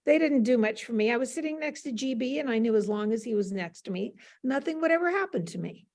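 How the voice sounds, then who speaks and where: Older woman with a higher-pitched voice, reminiscing. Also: expressive, fast talker, darkish tone. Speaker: interview guest. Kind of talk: casual reminiscing on a panel.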